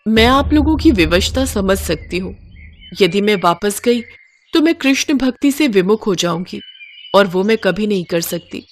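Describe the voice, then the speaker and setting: A woman's voice: medium pitch, animated, normal speed, close to an everyday voice. A woman voicing a serene, devout young princess in a devotional story, speaking formally and earnestly. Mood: gentle, resolute.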